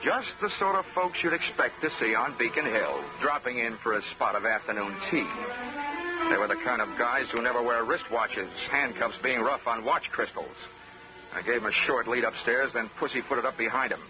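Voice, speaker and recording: An American actor playing a wry, hard-boiled investigator in a 1940s radio drama, full of dry wisecracks. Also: male voice, mid-range voice, normal speed, natural voice. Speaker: detective narrator and lead character. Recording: dull 1940s radio recording with music.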